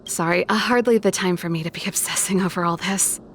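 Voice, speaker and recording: A woman's voice: on the low side, brisk, slight background noise, lightly acted. A woman playing a confident, theatrical rebel performer in a video game, assured and eager for battle. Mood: determined, showy. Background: game music.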